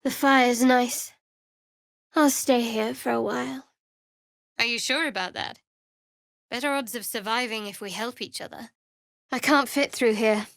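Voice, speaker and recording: A woman voicing a guarded, dry-witted young female cleric of a dark goddess in a fantasy video game, with a sardonic way of talking. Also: a little high, unhurried, gravelly, long pauses, dry recording. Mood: wry, measured.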